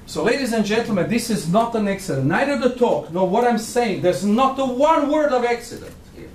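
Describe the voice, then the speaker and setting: A man's voice: very high voice, animated, brisk, darkish tone, a little hiss or hum. A man in his sixties from Croatia, speaking English with a Croatian accent, giving an emphatic, provocative keynote lecture and moving about the stage. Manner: emphatic, provocative, pacing and gesturing. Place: large conference hall. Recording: echoey hall from far off.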